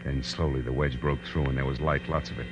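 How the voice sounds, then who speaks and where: A man's voice: extremely low pitch, fairly even intonation, a little fast, noisy background. A man playing a wry, hard-boiled private detective in a 1940s radio noir drama, with clipped tough-guy delivery. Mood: tense, brisk. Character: hard-boiled male private detective, wry and sardonic, narrating his case. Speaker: lead actor and narrator. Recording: dull vintage radio recording with music.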